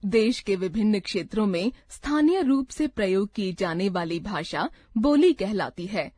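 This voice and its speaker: A woman narrating a scripted grammar lesson from a textbook, reciting rules and example lists in a measured way. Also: medium pitch, animated, a little mellow, no room sound, clean studio sound.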